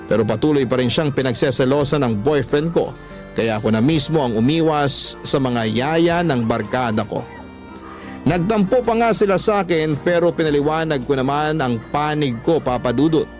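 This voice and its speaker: A man's voice: mid-range voice, darkish tone. A man, a radio host reading a listener's letter as scripted first-person story narration.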